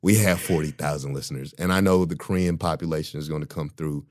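A man with a very low, deep voice, holding forth in a loose podcast conversation. He talks with emphasis and often breaks into laughter. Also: fairly even intonation, quick pace, a little mellow. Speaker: podcast co-host. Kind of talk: casual chat.

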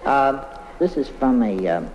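A man's voice: medium pitch, lively intonation, a little slow, a little mellow, dull audio, lightly acted. A man playing a flustered, smarmy children's TV host in a comedy monologue, hesitant and stammering, talking down to children. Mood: patronizing. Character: flustered, smarmy male children's TV show host, "Uncle" type. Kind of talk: live comedy monologue, halting letter reading. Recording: echoey noisy old live recording, audience laughter.

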